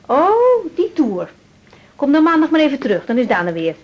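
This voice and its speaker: A woman's voice: high-pitched, huge pitch swings, moderate pace, muffled recording. An actress playing a caring, practical young woman doctor in a naturalistic radio drama. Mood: cool, businesslike. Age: young adult. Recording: clearly noisy old radio drama recording.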